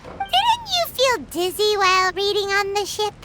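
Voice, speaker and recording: A woman voicing a small, childlike, cheerful and nosy fairy companion in a video game, with a squeaky voice. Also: very animated, a little slow, very noisy, heavily acted. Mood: scheming. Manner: refers to self in third person.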